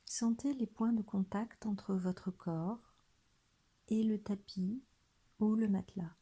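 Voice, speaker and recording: A woman guiding a body scan meditation in a soft, breathy, near-whispered voice, gentle and soothing, leaving long pauses. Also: medium pitch, steady tone. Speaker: meditation guide.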